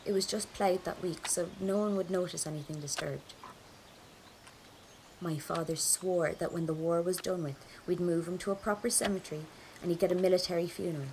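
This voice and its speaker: A woman's voice: on the low side, steady tone, a little fast, noisy background, lightly acted. An actress playing a grieving, stubborn Irish woman from a 1920s rebel family, telling her story plainly and with restraint, in an Irish accent. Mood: restrained grief. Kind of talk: first-person audio drama monologue.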